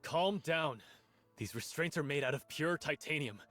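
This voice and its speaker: A man's voice: on the high side, animated, moderate pace, a bit bright. An actor voicing a cold, authoritative male overseer of sinister experiments in a video game cutscene, speaking in a clipped way. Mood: coldly commanding. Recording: game music behind the voice.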